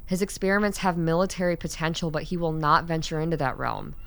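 Actress as a stern woman doctor, low voice, zealous, formal and deliberate, radio drama. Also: creaky. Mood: resolute, commanding. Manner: deliberate, earnest, formal speechifying. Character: stern, zealous woman doctor, resistance scientist with military authority. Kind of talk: radio play acting.

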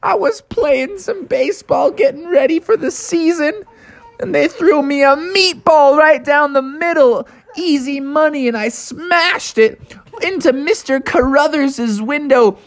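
Brief: woman putting on a jittery kid frog, very high and squeaky, slow and whiny, storybook voice. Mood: confessing. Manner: whiny, pleading. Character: anxious young boy frog, sheepish and timid.

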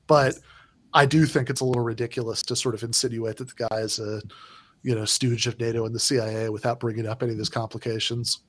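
A man's voice: mid-range voice, flat delivery, quick pace, a bit bright, no echo. A man in casual political discussion, talking in a rambling way with many fillers and restarts.

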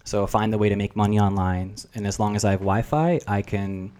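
A man's voice: lowish voice, flat delivery, fairly quick, darkish tone, clean tone. A man in his twenties from New Jersey, whose first language is Russian, talking in a rambling, reflective way as a podcast guest. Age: 20s. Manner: reflective, rambling, filler-heavy. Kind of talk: casual chat.

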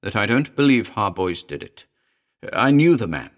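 A man's voice: fairly low pitch, sing-song, unhurried. A man voicing an agitated, commanding male character in a dramatic audiobook, fervent and emphatic. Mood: impassioned, vehement. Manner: fervent, emphatic, urgent pleading.

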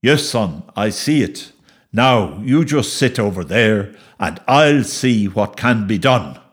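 A man voicing a calm, practical father in a story, speaking in a measured, reassuring manner. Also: medium pitch.

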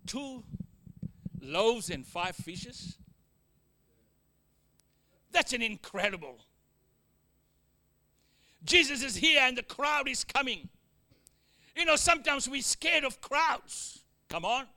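High, bright-voiced Fijian preacher. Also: male voice, expressive, takes it slowly, long pauses. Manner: exhorting, with frequent amens and call-outs to the congregation; joking asides, wide swings from loud to quiet. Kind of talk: sermon.

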